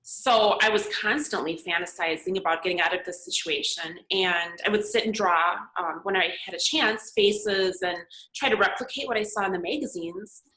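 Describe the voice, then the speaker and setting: A woman in her forties whose first language was Serbian tells her story in an earnest, reflective way, pausing on plenty of fillers. Her voice is bright and forward, and it rings in an echoey room over a remote call. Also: lowish voice. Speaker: podcast guest, makeup artist and model. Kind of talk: conversational interview answers.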